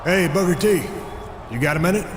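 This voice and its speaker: Plain-spoken game wrestler, determined, slightly high voice, long reverb, faint noise. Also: male voice, lively intonation, a little fast, a faint crowd. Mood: probing.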